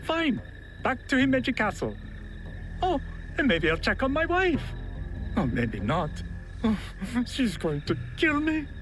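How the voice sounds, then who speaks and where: A man's voice: extremely high pitch, huge pitch swings, unhurried, pauses often, music in the background, full character voice, low-quality recording. A man playing a cowardly, self-pitying gambler husband on the run, jittery and pleading, in a video game cutscene. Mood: resigned, flustered.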